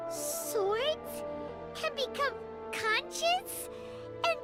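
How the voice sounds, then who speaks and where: Very high, squeaky little fairy. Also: female voice, very slow, full character voice. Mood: puzzled. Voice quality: squeaky, childlike. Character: tiny, outspoken childlike fairy companion. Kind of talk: cartoonish video game voice acting. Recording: music behind the voice.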